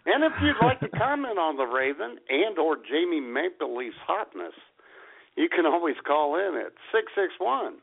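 Gravelly animated man on lo-fi radio. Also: fairly high pitch, moderate pace. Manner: animated, playful, does mock grumpy voices. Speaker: radio show co-host. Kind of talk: comedic radio banter. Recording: phone-like radio audio.

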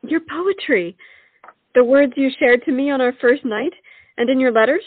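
A woman's voice: high voice, moderate pace, no room sound. A woman playing an earnest, romantic young lady who presses her point insistently in a stage comedy. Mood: coaxing. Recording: tinny, narrow-band sound.

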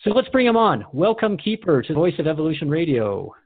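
Higher-voiced man hosting radio, phone-like sound. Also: big pitch swings, a little fast, no room sound. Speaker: radio host. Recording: dry, phone-like narrow band.

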